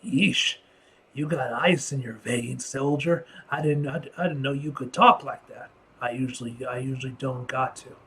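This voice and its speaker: A man voicing a fiercely loyal, grim, plain-spoken enforcer from a comic book, in an earnest, halting delivery. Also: mid-range voice, bright, forceful tone, slight background noise. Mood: dry.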